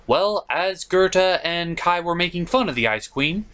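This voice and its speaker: A man's voice: sits high, lively intonation, normal speed, a bit bright, clean tone. A man hosting a comedic film review, narrating with theatrical, exaggerated delivery. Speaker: show host and reviewer.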